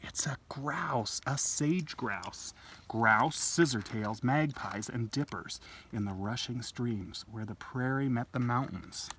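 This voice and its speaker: A man reads a picture book aloud at a slow, gentle pace, pausing for little asides to a child. His voice is bright and clear-cut, picked up from a distance with faint noise. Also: mid-range voice. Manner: gentle, unhurried, explaining things to a small child. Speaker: library storytime host. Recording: distant home-video mic with faint noise.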